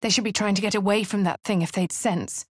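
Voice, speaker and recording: An actress voicing a posh, wry young adventurer woman with an English accent, reading game lines and narration in a crisp, well-spoken way. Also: mid-range voice, steady tone, brisk, no echo, clean studio sound, lightly acted. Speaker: player character voice. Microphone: studio mic, dry.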